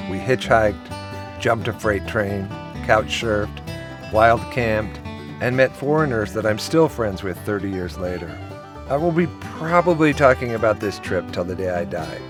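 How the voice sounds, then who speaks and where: A middle-aged man with a lowish voice narrates in an easy, friendly storytelling way. Strumming guitar music plays clearly behind him. The read is a laid-back personal voice-over. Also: lively intonation, a little slow. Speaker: vlogger host.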